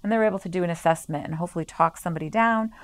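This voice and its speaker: A woman's voice: lowish voice, rapid-fire. A woman speaking earnestly as a staff representative, explaining services in a promotional interview. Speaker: staff representative of a community health organization. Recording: music playing under the voice.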